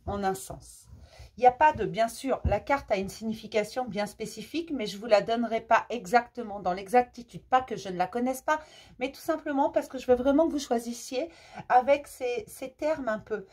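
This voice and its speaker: A French woman with a cold, doing a casual tarot card reading for her viewers, gentle and hesitant, with many fillers and restarts. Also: on the high side, normal speed. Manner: affectionate toward viewers. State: has a cold.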